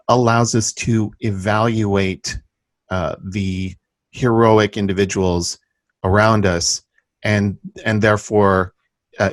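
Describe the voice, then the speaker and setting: A man hosting an interview podcast, speaking formally and deliberately in halting phrases. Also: lowish voice, no echo. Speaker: podcast host. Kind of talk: measured interview talk.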